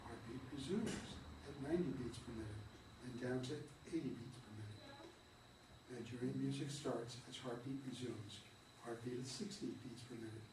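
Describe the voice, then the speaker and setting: A man reading stage directions aloud in a theatre room, in an even, measured way. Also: mid-range voice. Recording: roomy, distant, noisy room recording.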